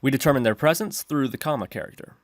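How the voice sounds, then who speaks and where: A man's voice: medium pitch, quick pace, no room sound, studio quality. A man narrating a step-by-step screencast tutorial, explaining his reasoning as he goes. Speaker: programming tutorial host.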